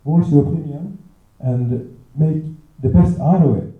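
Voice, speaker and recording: A man's voice: on the high side, a little slow. Hesitant young Swedish man, tinny echo. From Sweden. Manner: hesitant, many fillers. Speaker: student participant. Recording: tinny and echoey.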